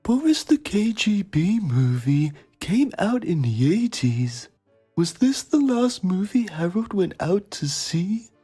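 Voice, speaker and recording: A woman's voice: mid-range voice, very animated, slow, warm tone, slightly rough, soft background music, full character voice. A woman playing a pretentious, self-admiring male journalist, narrating a mock documentary in a theatrical manner. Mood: mock poignancy. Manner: pompous, theatrical.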